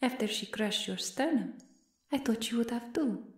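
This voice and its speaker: A woman's voice: on the high side, expressive, normal speed, roomy sound, close to an everyday voice. A woman playing a stern female vampire, cold, formal and disdainful, in an ASMR roleplay. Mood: curt, scornful. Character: stern, scornful female vampire, loyal servant of a countess. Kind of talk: ASMR roleplay audio drama.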